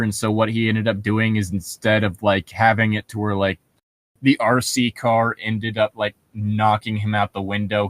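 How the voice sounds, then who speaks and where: A man co-hosting, reading internet horror stories aloud with casual commentary in a halting, self-correcting manner. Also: on the low side, no room sound.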